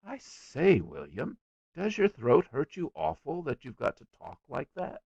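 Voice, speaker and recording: A man's voice: on the high side, wildly swooping pitch, unhurried, gravelly, darkish tone, dry recording, muffled recording, voice pushed up, heavily acted. A man voicing a tender, naive little girl in a story, asking childlike, earnest questions. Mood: anxious, sympathetic.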